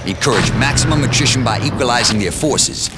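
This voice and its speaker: An actor playing a gruff male commanding officer who gives orders in a clipped, commanding military briefing style. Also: mid-range voice, animated, a little fast, heavy background noise, lightly acted. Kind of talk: scripted game cutscene briefing. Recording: loud music behind the voice.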